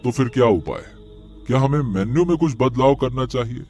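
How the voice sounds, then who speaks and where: An actor playing a hearty, supportive village farmer in a Hindi cartoon story, speaking emphatically. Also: male voice, medium pitch, lively intonation, a little fast, warm tone, lightly acted. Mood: thoughtful. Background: background music.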